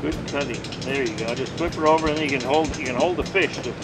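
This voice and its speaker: A man's voice: mid-range voice, fast talker, heavy background noise. An older man hosting a casual chat on a marina dock, chatty and teasing, handing out tips. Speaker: host filming the interview. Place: outdoor marina dock by the water.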